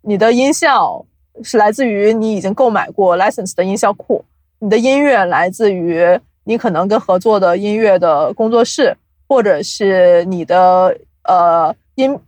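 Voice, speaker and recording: A young adult woman chatting casually as an interview guest, explaining things from experience with animated storytelling and self-deprecating humour. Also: medium pitch, dry recording. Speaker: podcast guest, audio drama producer.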